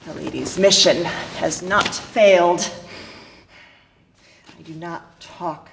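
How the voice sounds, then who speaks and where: A middle-aged woman on a theatre stage playing a proud, cunning Russian lady spy in a deliberate, dramatic, declamatory style. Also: lowish voice, gravelly. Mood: solemn, proud. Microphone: distant camera. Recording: echoey stage from afar, noisy, clicks.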